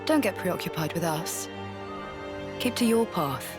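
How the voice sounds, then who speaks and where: Loud music plays behind a resolute female commander. She speaks in measured, formal phrases with steady self-assurance, as in a dramatic game cutscene. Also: fairly low pitch. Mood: firm, calm. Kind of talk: video game cutscene acting. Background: game soundtrack music.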